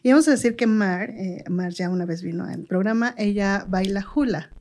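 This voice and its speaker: A woman's voice: medium pitch, moderate pace, a little mellow. A woman with a Mexican accent hosting a conversational radio interview show. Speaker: radio talk show host.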